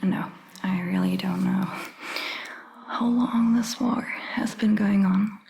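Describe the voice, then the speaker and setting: Very slow, whispering woman playing vampire doctor. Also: mid-range voice, steady tone, creaky, slight background noise. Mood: calm, attentive. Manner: unhurried, gentle, reassuring, with long pauses. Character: calm, caring centuries-old female vampire doctor. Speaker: ASMR roleplay performer. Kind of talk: soft-spoken ASMR roleplay, mostly whispered.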